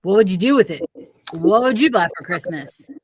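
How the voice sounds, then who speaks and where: A woman's voice: mid-range voice, animated, moderate pace, darkish tone, husky, dry recording, rough recording. A woman reading the part of a callous, money-minded male kidnapper in a children's story, dismissive and persuasive.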